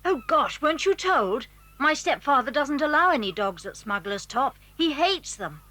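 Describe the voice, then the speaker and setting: A woman playing an eager, cheeky schoolboy with a brisk, conspiratorial manner in a children's audio drama. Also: sits high, sing-song, pushed and bright, full character voice. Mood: surprised, friendly.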